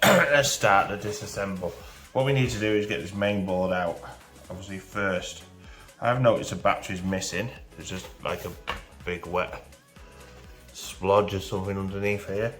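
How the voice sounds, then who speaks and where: A man, hoarse from a cold, chatting casually through a hands-on repair as channel host. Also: deep voice. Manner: relaxed, chatty, talks through each step. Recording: roomy echo with faint music.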